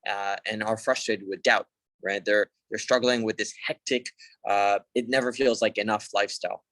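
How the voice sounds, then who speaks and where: A man's voice: fairly low pitch, fairly even intonation, normal speed, a bit bright, no echo. A young man from Hong Kong giving a casual conference talk over a video call, informal and full of fillers and restarts. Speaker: conference speaker. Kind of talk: casual online presentation.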